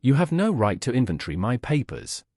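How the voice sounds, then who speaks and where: A man acts out an older Regency gentleman from a storybook, smooth and controlling. He speaks formally and with condescension, used to giving orders. The pitch is middling but moves a good deal. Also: big pitch swings, a little fast, no echo, studio quality. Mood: commanding.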